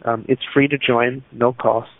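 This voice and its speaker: A man from Los Angeles calling into a group chat, talking haltingly with many restarts. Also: medium pitch, little pitch movement, dry recording. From Los Angeles, USA. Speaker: caller. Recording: tinny phone line, dry.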